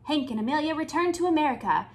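A woman in her thirties reading a picture book aloud to young children with expressive, deliberate phrasing. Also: high voice. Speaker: children's storytime reader. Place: small indoor room with bookshelves.

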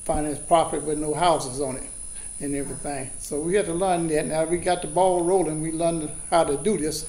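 A farmer telling a story in a colloquial, animated way, repeating his points. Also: fairly high pitch, a little fast, reverberant, poor audio. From Louisiana. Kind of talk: casual storytelling.

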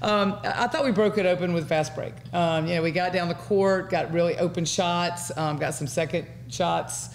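A middle-aged American woman, a basketball coach answering at a press conference in a measured, halting way. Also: lowish voice. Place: indoor press conference room. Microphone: table microphone. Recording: faint room noise.

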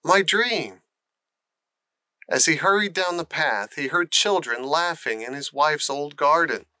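A man narrating a children's storybook in a deliberate, expressive way. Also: a little high, smooth, no room sound. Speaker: audiobook narrator.

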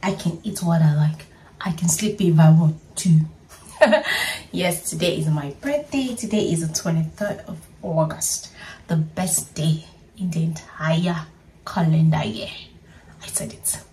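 A woman, talking to camera in a playful, chatty way and laughing often. Her voice sits a little low and mellow. She is a bit far from the microphone, with faint room noise behind her. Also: a little slow. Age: 30s. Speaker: vlogger. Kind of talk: casual get-ready-with-me vlog. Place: small bedroom at home.

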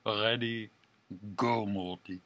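A man playing an effusive, pretentious French sculptor-poet in a comedy talk show, with a French accent and halting, flowery phrasing. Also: fairly low pitch, fairly even intonation, a bit bright, no echo. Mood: halting, self-important.

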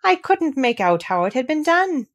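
A woman's voice: high-pitched, sing-song, normal speed, no room sound, highs cut off, voice pushed up. A woman voicing a cheerful, plain-spoken country boy with a Yorkshire accent in a storybook. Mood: excited.

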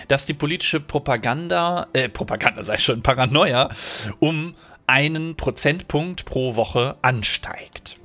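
A man's voice: medium pitch, pushed and bright. A German-speaking man commentating a strategy game at his desk, explaining mechanics thoughtfully with many fillers while recovering from a cold. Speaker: let's play host.

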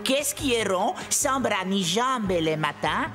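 A woman's voice: medium pitch, expressive, takes it slowly. A woman voicing an eager, naive young man in love in a children's cartoon, earnest and exclaiming. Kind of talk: French children's cartoon voice acting. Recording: music behind the voice.

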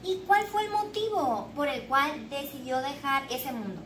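High-voiced young woman hosting an interview. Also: big pitch swings, a little slow. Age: young adult. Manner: formal, scripted questioning. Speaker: interviewer, show host. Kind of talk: scripted mock interview. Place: small bare indoor room with tiled floor. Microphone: far camera. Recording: echoey room, distant mic, background hiss.

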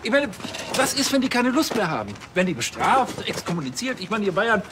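A man's voice: sits high, big pitch swings, a little fast, far from the mic, noisy background. An actor playing an overeager, self-important policeman in a TV crime comedy, rambling earnestly. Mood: agitated. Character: overeager, self-important male police officer, conspiracy-minded. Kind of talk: naturalistic TV crime comedy acting.

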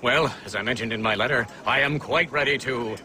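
An actor playing an excitable elderly gentleman inventor in a game cutscene, polite and eager. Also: medium pitch, brisk, slight background noise. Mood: genial. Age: older. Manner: genial, eager, a little fussy. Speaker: scientist. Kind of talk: video game cutscene acting.

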